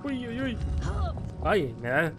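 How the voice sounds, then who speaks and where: A man's voice: sits high, sing-song, slow pace. Mexican guy streaming a game, rambly and slangy, swears jokingly, game music behind him. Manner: rambling, slangy, playfully profane. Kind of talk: casual gameplay commentary. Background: video game music.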